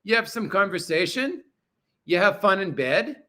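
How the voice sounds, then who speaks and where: Guy in his 40s on a livestream, bright forceful voice, swearing a lot, blunt as hell. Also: high-pitched, sing-song, moderate pace. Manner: crude, profane and blunt. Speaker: livestream host. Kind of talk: casual livestream chat reading viewer comments. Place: apartment.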